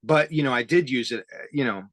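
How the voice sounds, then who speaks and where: A man with a bright, forward voice and a mid-range pitch hosts a relaxed interview. He talks in a halting, self-deprecating and enthusiastic way. The sound is dry and close, with hardly any room. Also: dry recording. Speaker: interview host. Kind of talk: casual conversational interview about tape gear.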